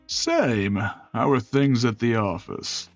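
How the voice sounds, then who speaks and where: A man voicing a genial but wary older retired male prosecutor in a visual novel, unhurried and folksy. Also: medium pitch, animated, dry recording, muffled recording, soft background music. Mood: guarded, friendly. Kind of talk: visual novel character voice acting.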